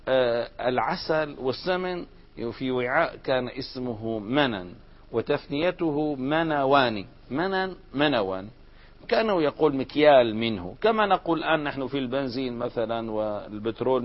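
A man's voice: medium pitch, lively intonation, normal speed. A man teaching an Arabic grammar lesson, explaining step by step and putting questions to the class. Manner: explains step by step, asks students questions and answers them. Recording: dull lo-fi recording.

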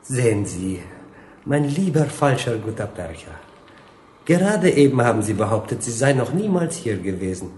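An actor playing a smug young general-dictator in a German radio drama, gushing with oily familiarity and rambling on with jovial menace. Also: male voice, medium pitch, sing-song, moderate pace, darkish tone, slight background noise, natural voice. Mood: mock-friendly menace. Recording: roomy, echoing studio scene.

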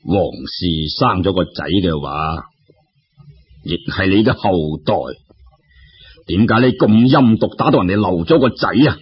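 A man voicing a menacing giant envoy of the underworld in a storybook tale, slow and accusatory, speaking Cantonese. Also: low voice, lively intonation, breathy edge, dull audio, pitched below the performer's own voice, heavily acted. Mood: stern, wrathful.

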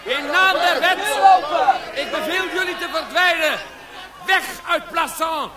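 A man's voice: very high voice, a little slow. A man playing a stern, indignant commandant in a radio drama, barking officious commands. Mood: indignant, commanding. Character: stern, indignant commandant defying a rebel mob. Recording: outdoor crowd noise behind.

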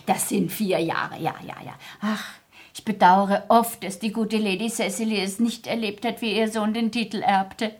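An actress playing an older aristocratic hostess in a German radio play, gracious, amused and rambling. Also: female voice, mid-range voice, fairly even intonation, normal speed, close to an everyday voice. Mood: rambling, forgetful. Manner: rambling, gracious, chuckling, muddles her facts. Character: chatty, forgetful, amused aristocratic society hostess, older lady.

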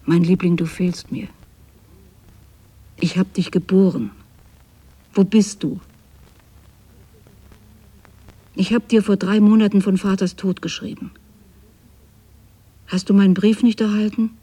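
A woman's voice: mid-range voice, steady tone, a little slow, long pauses, mellow, slightly rough. An actress playing a lonely, possessive widowed mother in a radio drama, speaking a letter to her absent son in a tender, plaintive way. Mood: pleading, longing. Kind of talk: intimate radio drama monologue, spoken as a letter.

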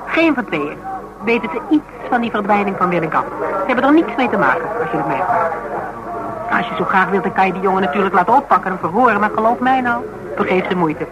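An actress playing a sharp, scheming insurance investigator in a radio drama, teasing and talkative. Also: female voice, medium pitch, lively intonation, quick pace, very noisy, close to an everyday voice. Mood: animated, reasoning.

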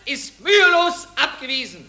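An actor playing a boastful wartime officer, proclaiming clipped battle reports in verse in an old German radio play. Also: male voice, very high voice, animated, moderate pace, vocal fry, full character voice. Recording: echoey old radio recording, crowd behind.

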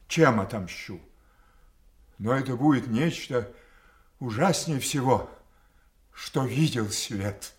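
Slow, anguished old king. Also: fairly low pitch. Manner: declamatory, swinging from hushed to thundering. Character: proud, anguished old king, wronged by his daughters. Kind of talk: classical stage tragedy acting.

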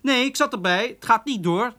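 A man's voice: very high voice, moderate pace, bright, forceful tone. An actor playing a chatty, cheeky young male switchboard operator in a radio drama, breezy and familiar with a chuckling manner. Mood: breezy, businesslike. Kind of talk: naturalistic Dutch radio drama acting.

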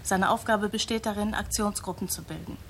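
An actress playing a sober, knowing investigative journalist in a radio drama, direct and matter-of-fact. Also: female voice, mid-range voice, steady tone, fairly quick, a little hiss or hum, natural voice. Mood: factual, serious. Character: sober, knowing female investigative journalist, loyal old friend.

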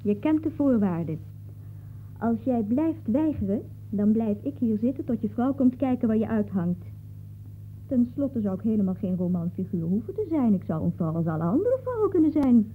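Tartende jonge romanheldin, levendig, blikkerig geluid. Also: female voice, a little high, sing-song, normal speed. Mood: taunting, menacing. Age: young adult. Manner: taunting, theatrical, coaxing. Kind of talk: radio play acting. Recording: tinny, noisy old radio recording.

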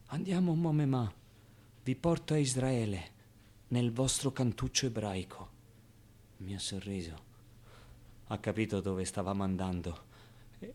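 An actor playing a streetwise, wistful orphan boy in a halting, emotional theatrical monologue. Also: on the low side, close to an everyday voice. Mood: tender. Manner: halting, emotional, swinging from outbursts to hushed confiding. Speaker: stage actor.

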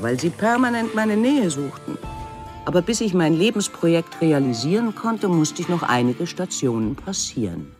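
An actress playing a woman sculptor who recalls her life in measured, articulate radio drama narration. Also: lowish voice. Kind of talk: scripted first-person radio drama narration, translated voice-over.

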